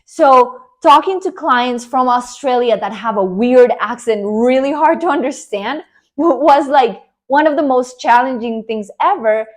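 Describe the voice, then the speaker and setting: A young Colombian woman with a Colombian Spanish accent, Spanish her first language, speaks to camera as an online career coach. Her voice sits fairly high, and she talks in an earnest, upbeat way, leaning on emphatic promises to push the listener forward. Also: measured pace. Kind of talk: motivational talk to camera.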